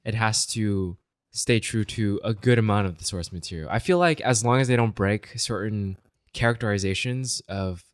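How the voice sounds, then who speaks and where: Lowish-voiced man, informal, dry sound. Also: normal speed, clear, resonant tone, no room sound. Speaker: podcast co-host. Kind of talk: casual chat.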